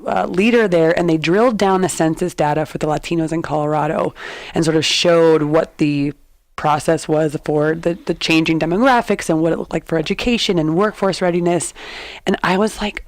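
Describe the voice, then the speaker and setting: A woman's voice: deep voice, a little fast, no echo. A woman from the United States, a nonprofit executive director, answering interview questions in an eager, chatty way with plenty of fillers.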